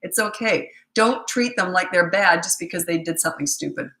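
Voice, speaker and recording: A woman's voice: lowish voice, lively intonation, moderate pace, sharp tone, echoey room. A woman in her sixties with a cold, her voice giving out, giving blunt, matter-of-fact parenting advice on a live stream. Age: 60s. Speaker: parenting coach.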